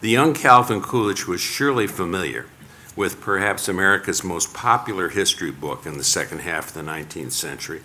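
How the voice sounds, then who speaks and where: Deep-voiced older man giving a lecture. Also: bright, forceful tone. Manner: measured, reading from prepared text. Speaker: panel speaker. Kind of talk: prepared lecture. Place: lecture hall.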